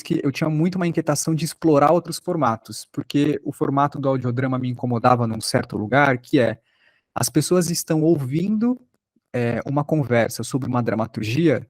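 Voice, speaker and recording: Brazilian male workshop instructor, mid pitch, dry close mic; informal, self-effacing, conversational lecture delivery. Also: a little mellow, no room sound. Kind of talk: informal online lecture, recounting own experience.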